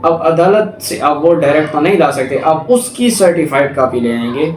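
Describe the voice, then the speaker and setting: A man's voice: a little high, lively intonation, darkish tone. A man with a Pakistani accent giving an explanatory law lecture, mixing in English terms as he goes through clauses and examples. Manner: reads English clauses slowly, then explains with examples, code-switching. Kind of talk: explanatory lecture, reading statutes then explaining. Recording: echoey room with clear background noise.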